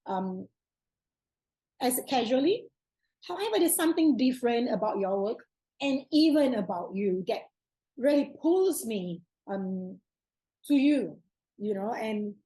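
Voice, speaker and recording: A young adult woman hosting an interview over a video call, speaking in an effusive, gesturing way with many restarts, from a bright room at home. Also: fairly high pitch, low-quality recording. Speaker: podcast host.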